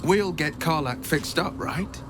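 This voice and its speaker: A man's voice: fairly high pitch, measured pace, background noise, natural voice. A man acting an earnest, noble young hero in a fantasy video game, with a grandiloquent, resolute delivery. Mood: tender, hopeful.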